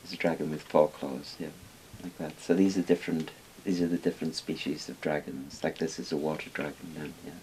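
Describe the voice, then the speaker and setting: Older male expert from Wales, low-pitched, informal explainer, hissy room recording. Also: flat delivery, a little fast, hardly any pauses, darkish tone, rough recording. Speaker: interviewed expert on Chinese dragons. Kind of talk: casual explanatory talk. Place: small book-lined room indoors. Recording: hissy background noise.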